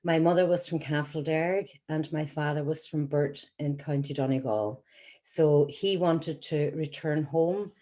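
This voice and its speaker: Low mellow Irish woman in her sixties. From Scotland, then Ireland. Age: 60s. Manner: earnest and measured, with frequent ums. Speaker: patient advocate giving a talk. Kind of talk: personal story told to an audience.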